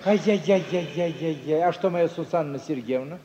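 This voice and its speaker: Актёр играет суетливый барин с высоким голосом. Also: male voice, fairly high pitch, normal speed, mellow, lightly acted. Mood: fussy, disapproving. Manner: clucking, exclaiming, theatrical. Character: Naum Fedotovich, fussy, sympathetic, gossipy gentleman visitor. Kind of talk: mid-century Russian radio theatre acting. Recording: 1950s radio play, reverberant hall.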